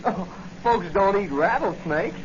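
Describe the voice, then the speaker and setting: A man's voice: high voice, expressive, measured pace. A man playing an eager, folksy country boy in an old-time radio serial style. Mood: eager, friendly. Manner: folksy, colloquial. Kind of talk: 1940s radio acting. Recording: muffled, noisy old radio recording.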